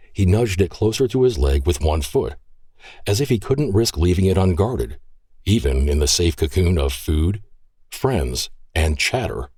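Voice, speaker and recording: Deep husky guy narrating an audio drama, dry close mic. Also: a little mellow, dry recording.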